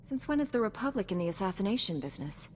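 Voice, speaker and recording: A woman's voice: mid-range voice, rapid-fire, a little hiss or hum, close to an everyday voice. Woman playing a composed young knight, echoing. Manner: calm, direct, earnest. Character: resolute young female Jedi knight, composed hero. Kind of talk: video game cutscene acting.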